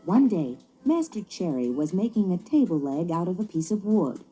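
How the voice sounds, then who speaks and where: A woman reading a children's story as scripted narration, with an expressive, theatrical delivery. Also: fairly low pitch, moderate pace, breathy edge, faint music behind.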